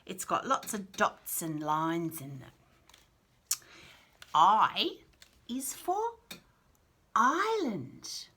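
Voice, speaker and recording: A woman with an Australian accent reading picture books aloud to toddlers in an animated, sing-song way, with chatty asides and questions to the child. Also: medium pitch, very slow, long pauses, breathy edge. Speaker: children's picture book read-aloud host.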